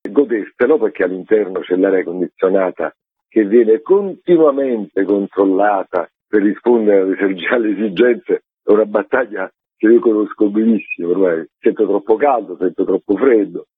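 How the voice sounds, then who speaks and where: A man's voice: lowish voice, moderate pace, breathy edge, dry recording. A man explaining things in an interview in an emphatic, conversational way. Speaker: theatre artistic director, interview guest. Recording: narrow, tinny phone line.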